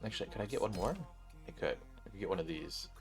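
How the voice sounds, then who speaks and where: A man doing casual, slangy gameplay commentary, thinking out loud as he plays. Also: fairly low pitch, fast. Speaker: gaming streamer. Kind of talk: casual gameplay commentary, reading dialogue aloud. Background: game music.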